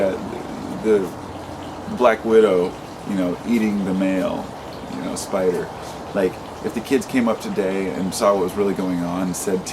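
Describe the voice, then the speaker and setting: A man in his late thirties speaks in a deep, low voice, rambling and impassioned as he vents in an unscripted vlog. He swears freely and often restarts his sentences. Steady traffic noise runs clearly behind him. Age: 30s. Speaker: vlogger. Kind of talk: unscripted monologue to camera.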